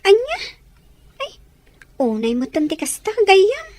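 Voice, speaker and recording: A woman with an Ilocano accent playing a sympathetic, animated confidante who gives practical advice in an audio drama. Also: very high voice, slow pace, no room sound, full character voice. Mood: surprised, advising. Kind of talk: Ilocano radio-style drama acting.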